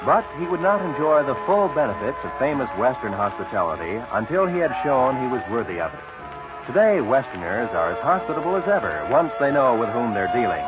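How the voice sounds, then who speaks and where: Lively male vintage radio pitchman, muffled. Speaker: commercial announcer. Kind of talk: 1940s scripted radio advertisement. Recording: muffled 1940s radio recording, music behind.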